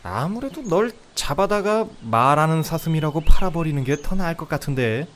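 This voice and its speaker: A man playing a greedy, scheming woodcutter in a comedic audio fairy tale, with a gruff, blunt, muttering delivery. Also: fairly high pitch, animated, moderate pace, fairly bright tone, slight background noise, pitched above the performer's own voice. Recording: outdoor nature ambience, birdsong behind.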